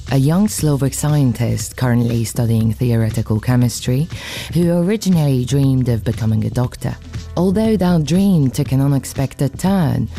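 A woman reporter reading a scripted radio feature in a measured, storytelling way. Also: a bass voice, gravelly, dark tone.

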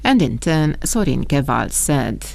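A woman reads a news report in a steady, plain way. Her voice is low and gravelly with fry. The sound is close and dry. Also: unhurried, no room sound. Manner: steady, matter-of-fact reading. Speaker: radio reporter. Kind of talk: news report with voice-over translation.

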